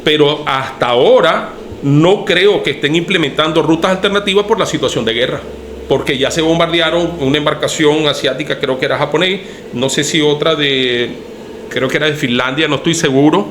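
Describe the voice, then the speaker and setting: A man's voice: a little high, fairly quick, a bit bright, slight background noise. A Venezuelan man talking earnestly on a podcast, thinking aloud and restarting his phrases. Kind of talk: casual podcast discussion.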